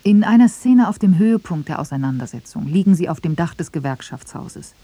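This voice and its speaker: A woman narrates a radio feature from a script. Her voice is fairly low and very mellow, recorded dry and close. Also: expressive, fairly quick, dry recording.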